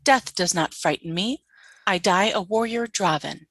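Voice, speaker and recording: A woman's voice: mid-range voice, measured pace, a bit bright, no room sound. A woman playing an obedient, fearless alien warrior soldier in a sci-fi radio play, stiff, formal and terse. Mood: menacing.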